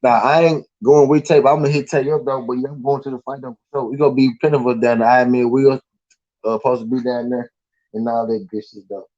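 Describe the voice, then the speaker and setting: A man's voice: mid-range voice, fairly even intonation, quick pace, a little mellow, lo-fi sound. A man with a Southern US accent hosting a live stream, chatting casually in a laid-back, slangy, rambling way with lots of fillers. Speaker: podcast host.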